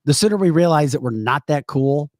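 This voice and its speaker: A man's voice: on the high side, expressive, fairly quick, darkish tone, no room sound, studio quality. A man co-hosting a political talk podcast, speaking bluntly and emphatically and repeating points for effect. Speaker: podcast co-host. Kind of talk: political talk show chat.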